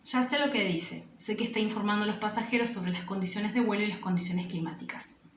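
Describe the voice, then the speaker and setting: A woman's voice: mid-range voice, brisk. Echoey, slightly distant woman teacher. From Argentina. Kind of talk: instructional presentation. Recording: echoey room, distant mic, faint noise.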